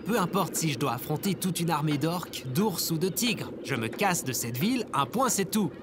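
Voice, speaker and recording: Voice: medium pitch, expressive, moderate pace, over music, natural voice. A performer playing a stubborn, whiny, hapless last survivor on Earth in an audio comedy, with an exasperated, grumbling manner. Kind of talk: scripted audio comedy drama.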